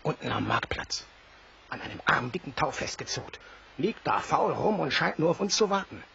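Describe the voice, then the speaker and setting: A blunt, gravelly, hearty sailor. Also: male voice, a little high, big pitch swings, moderate pace, sharp tone, full character voice. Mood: eager. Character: brash, warm-hearted Northern sailor eager to escape. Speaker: voice actor. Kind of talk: German audio drama acting. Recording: dull, hissy old recording.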